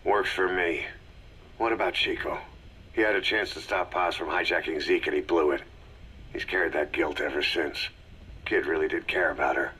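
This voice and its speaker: A man playing a gruff, stoic, terse mercenary commander in a video game audio drama, with a gravelly, husky voice. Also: deep voice, fairly even intonation, normal speed, sharp tone, heavily acted. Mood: grim, terse.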